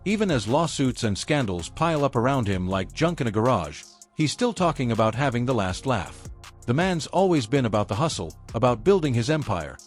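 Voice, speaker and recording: A man's voice: medium pitch, sing-song, brisk, soft background music. A man reading scripted celebrity gossip narration in a dramatic, insinuating storytelling manner.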